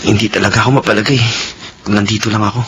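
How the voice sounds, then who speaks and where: A man's voice: lowish voice, fairly even intonation, rapid-fire, close to an everyday voice, low-quality recording. A man playing a worried young son. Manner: worried, earnest. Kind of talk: classic radio drama acting. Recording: hissy old radio broadcast.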